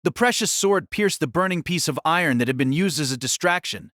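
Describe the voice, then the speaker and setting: Man, fairly high voice, dry close sound, audiobook narrator, formal literary delivery, dramatic storytelling. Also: lively intonation, fairly quick, fairly bright tone, dry recording.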